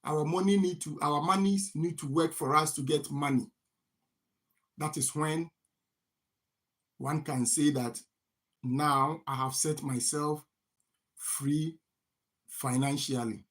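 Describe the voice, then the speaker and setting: A man's voice: a little high, steady tone, slow pace, long pauses, fairly bright tone. Man, repeating rhetorical questions. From Ghana. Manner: repetitive, poses rhetorical "what?" questions and answers them. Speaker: farming channel host. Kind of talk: motivational finance talk to camera.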